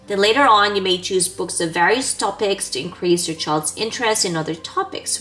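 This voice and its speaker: A woman in her thirties presents scripted teaching tips in a mid-pitched voice. She explains step by step and enunciates clearly, like an educator addressing parents. Music plays behind her. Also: fairly bright tone. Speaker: early childhood educator giving parenting tips. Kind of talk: scripted instructional presentation to camera. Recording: background music under the voice.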